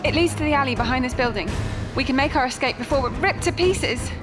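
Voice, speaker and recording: A woman's voice: high voice, fast, lightly acted. A woman playing an urgent, resolute survivor of an undead outbreak in an audio drama. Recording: distant from mic, music behind.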